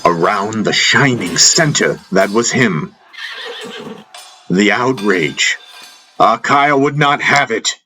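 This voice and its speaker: A man narrating a fantasy audiobook in a solemn, reverent, deliberate dramatic style, as a first-person storyteller. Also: fairly low pitch, animated, sharp tone. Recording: narration over a music soundtrack. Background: prominent music.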